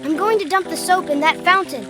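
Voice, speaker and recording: Plucky cartoon kid leader woman actor, very high register, earnest and urgent, music playing behind. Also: brisk. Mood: excited. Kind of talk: fan comic dub voice acting.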